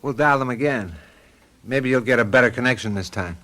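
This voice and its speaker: A man plays a harried American suburban husband in a radio drama. He is wry and easily exasperated, talking like an everyday commuter with a medium-pitched voice. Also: brisk, pushed and bright, natural voice. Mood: easygoing.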